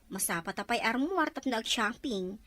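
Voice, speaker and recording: A woman's voice: a little high, animated, moderate pace, pushed and bright, no echo. A woman playing a chatty, teasing young friend, with a Filipino Ilocano accent. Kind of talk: radio drama dialogue.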